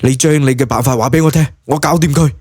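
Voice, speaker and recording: A man's voice: on the high side, quick pace, darkish tone, slightly rough, dry recording, studio quality. A man voicing a cocky, blunt young fighter in a Cantonese audio drama, exclamatory and casually dismissive. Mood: puzzled, urgent.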